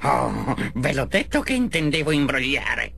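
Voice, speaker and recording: A man's voice: medium pitch, sing-song, a little slow, creaky, sharp tone, husky, full character voice. A man voicing a whiny, petulant mad scientist villain in a game cartoon, melodramatic and exasperated. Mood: sly. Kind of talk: animated video game cutscene acting, Italian dub.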